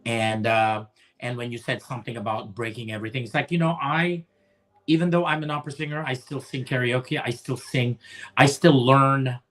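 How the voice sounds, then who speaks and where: A man's voice: medium pitch, steady tone, normal speed, fairly bright tone. A man in his forties from the Philippines, an opera singer chatting as a guest, rambling with lots of restarts and fillers. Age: 40s. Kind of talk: casual chat.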